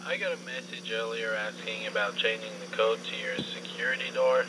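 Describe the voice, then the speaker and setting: A man's voice: on the low side, normal speed, close to an everyday voice. An actor playing a helpful, easygoing tradesman leaving a casual voicemail in a game. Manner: casual, obliging. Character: helpful, easygoing tradesman, a security door installer. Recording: noisy, humming answering machine message.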